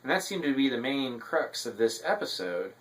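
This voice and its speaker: Male reviewer, mid-pitched voice, semi-scripted commentary, hesitant delivery with frequent filled pauses. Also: big pitch swings, fairly bright tone, low-quality recording. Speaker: TV episode reviewer.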